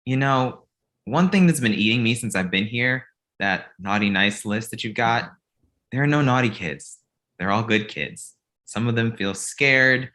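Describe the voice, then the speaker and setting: A man's voice: mid-range voice, lively intonation, measured pace, bright, forceful tone. A man playing a pushy, earnest, easily flustered filmmaker in a comedic table read.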